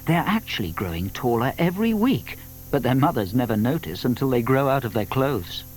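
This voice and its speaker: Very animated British male storybook narrator. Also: mid-range voice, fairly quick, rough recording. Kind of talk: storybook narration for audio theatre.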